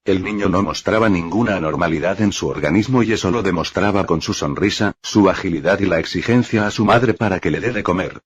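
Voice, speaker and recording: Deep husky man reading a story. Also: brisk, few pauses, dry recording. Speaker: narrator. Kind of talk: reading a first-person book aloud. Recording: dull lo-fi dry recording.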